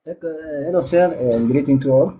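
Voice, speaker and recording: A man's voice: fairly high pitch, brisk, mellow. Give me a polite, hesitant man calling in over a lo-fi line with faint noise. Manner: hesitant, many fillers, polite. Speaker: caller, master's student. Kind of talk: asking a question on a live call. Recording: lo-fi call with faint background noise.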